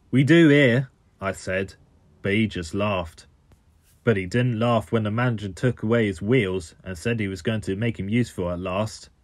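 A man's voice: on the low side, dry recording. Man doing a crotchety old cartoon tank engine. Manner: indignant, scolding. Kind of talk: amateur cartoon character voice reel.

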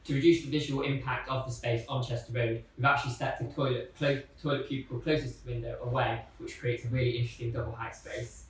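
A male architect explaining renovation works in a steady way, with frequent um fillers, filmed in a large hall under renovation. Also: mid-range voice, quick pace, hardly any pauses. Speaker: architect giving a site tour. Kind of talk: scripted walkthrough presentation. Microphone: camera mic from a few metres away. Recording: roomy echo, distant mic, faint background noise.

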